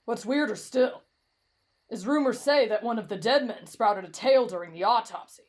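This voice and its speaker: A woman's voice: a little high, normal speed, pitched below the performer's own voice, lightly acted. A woman voicing a brash, cocky young man with a morbid streak and a blunt, boastful manner in an anime fan audio roleplay. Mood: eerie storytelling.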